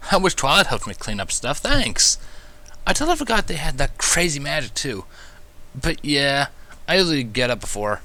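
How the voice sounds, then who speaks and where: A man voicing an eager, friendly little boy dragon with excitable, slangy, chatty delivery in a fan fiction audiobook. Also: a little high, normal speed, voice pushed up. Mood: cheerful, grateful.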